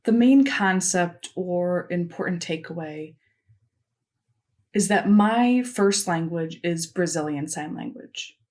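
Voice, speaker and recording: A woman voicing a signed conference keynote into spoken English, delivering it in short, measured phrases with pauses. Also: lowish voice, darkish tone. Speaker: sign language interpreter voicing a keynote. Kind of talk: simultaneous interpretation of a conference talk.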